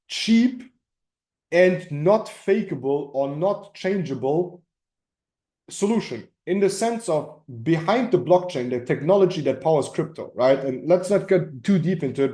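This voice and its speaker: A man's voice: a little high, warm tone. A man with a German accent coaching informally on a group call, explaining emphatically and repeating himself with frequent check-ins. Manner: emphatic, repetitive, checks in with "right?" and "okay?". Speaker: crypto trading coach hosting a community call. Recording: roomy, echoing sound.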